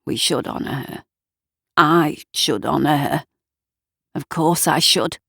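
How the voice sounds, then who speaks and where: Woman voicing a troubled old man, low and hesitant. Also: takes it slowly, creaky, fairly bright tone, slightly rough, dry recording, studio quality, exaggerated voice. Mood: brooding. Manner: hesitant, plain-spoken, dialect turns of phrase. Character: agitated grieving old man, reluctant storyteller, haunted and fearful.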